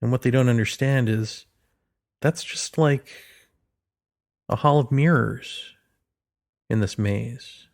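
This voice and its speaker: A man's voice: mid-range voice, slow pace, vocal fry, long pauses. Man delivering a sardonic philosophical monologue. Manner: rhetorical questions and emphatic repetition. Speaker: commentator delivering a solo monologue.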